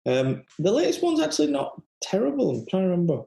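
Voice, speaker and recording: Animated, mellow speaker in a roomy space. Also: medium pitch, fast, vocal fry. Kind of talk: casual film chat.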